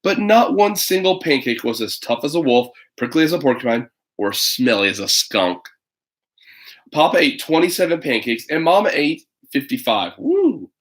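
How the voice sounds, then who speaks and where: Expressive man reading a children's storybook. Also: fairly high pitch, moderate pace. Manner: animated, dramatic, with asides to a child listener. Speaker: storybook reader. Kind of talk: expressive read-aloud of a children's picture book.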